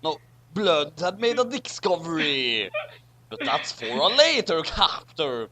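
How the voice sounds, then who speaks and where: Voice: mid-range voice, sing-song, slow pace, crowd noise. A reader doing a comedic dramatic reading of badly written fan fiction, stumbling and repeating phrases over the garbled text.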